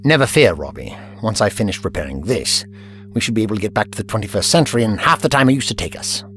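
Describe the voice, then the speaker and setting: Casting: a man to play vain, pompous time-travelling inventor for audio drama; lowish register, swooping delivery. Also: expressive, quick pace, fairly bright tone, faint music behind. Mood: distracted, preoccupied. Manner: pompous, formal, dismissive. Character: aloof, vain time-travelling alien inventor, tinkering with his ship.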